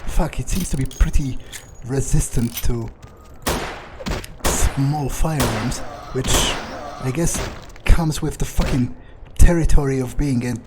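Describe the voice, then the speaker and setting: A man giving in-character game commentary, muttering his thoughts aloud in a halting, sweary, wryly understated way. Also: medium pitch, very noisy. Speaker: video game player narrating in character. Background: game music.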